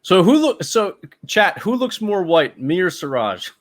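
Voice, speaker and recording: A man's voice: high voice, sing-song, unhurried. A man hosting a podcast, chatting in a laid-back way and often laughing along. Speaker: podcast host. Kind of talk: casual chat.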